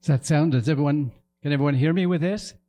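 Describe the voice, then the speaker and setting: Older man, from the lower ottawa valley, retired teacher, loud voice, chatty history lecture. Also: fast. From Lower Ottawa Valley, Canada. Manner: loud, relaxed, chatty delivery. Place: lecture room in a museum.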